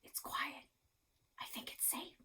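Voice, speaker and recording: A woman's voice: slow pace, pushed and bright, in a whisper. A woman voicing an exasperated, argumentative child in a children's storybook, insistent and combative. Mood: conspiratorial. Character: exasperated, argumentative child narrator arguing back.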